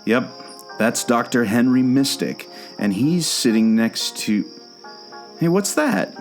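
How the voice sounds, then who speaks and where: Lively, earnest explorer guide acted by a man. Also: mid-range voice, takes it slowly, faint music behind. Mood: eager. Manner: earnest, storytelling. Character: eager, knowing male explorer guide unraveling a mystery. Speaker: co-host of a theme park podcast. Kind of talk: scripted audio drama dialogue.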